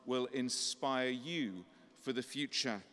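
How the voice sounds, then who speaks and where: A middle-aged clergyman speaks at a lectern microphone, his voice slightly croaky yet smooth and clear. He delivers a courteous, gently humorous welcome. Long cathedral reverb trails each phrase. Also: mid-range voice, slow. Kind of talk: formal welcome address. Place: large cathedral.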